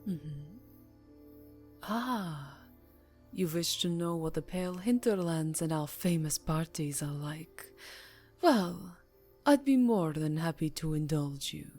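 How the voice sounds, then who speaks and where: An aristocratic vampire countess acted by a woman speaks in a fairly low, soft and breathy voice, very close to the microphone. Her pace is unhurried and languid, formal yet seductive, often slipping toward a whisper. It is an intimate ASMR roleplay with clear music underneath. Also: lively intonation, full character voice. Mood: indulgent, wistful.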